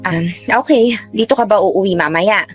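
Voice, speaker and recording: A woman's voice: medium pitch, normal speed, soft background music, close to an everyday voice. A woman playing a teasing middle-aged mother with a Filipino accent, in colloquial radio drama style. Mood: casual, probing. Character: middle-aged mother, teasing, secretly rivaling her daughter.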